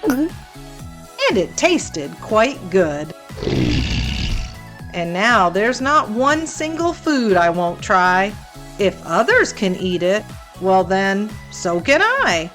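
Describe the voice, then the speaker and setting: A woman storytime host reading aloud to children in an expressive, theatrical, measured storytelling manner. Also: mid-range voice, soft background music.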